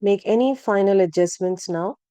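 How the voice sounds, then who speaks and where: A woman leading a guided relaxation practice, speaking calmly and gently, with unhurried instructions and long pauses. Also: mid-range voice, no echo. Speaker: yoga nidra guide. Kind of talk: guided meditation narration.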